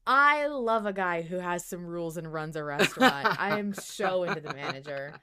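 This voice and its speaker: A woman's voice: lowish voice, animated, normal speed, bright, forceful tone, clean tone. A woman hosting a podcast, talking casually about a story with her co-host. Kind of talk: casual discussion of a fiction podcast.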